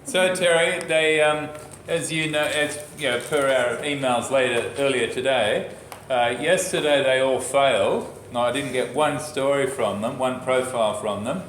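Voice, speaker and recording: A man's voice: medium pitch, a bit bright, a little hiss or hum. Chatty man moderating in an echoey room. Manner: chatty, halting, with many fillers. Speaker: organizer of a youth reporter program. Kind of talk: casual moderating.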